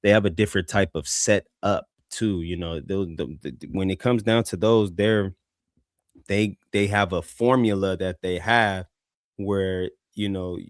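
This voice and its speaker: Deep-voiced man, rambling review talk. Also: unhurried, no room sound, studio quality. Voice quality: deep voice, flat delivery. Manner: rambling, many restarts and fillers. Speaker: co-host music reviewer. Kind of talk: casual live music review chat.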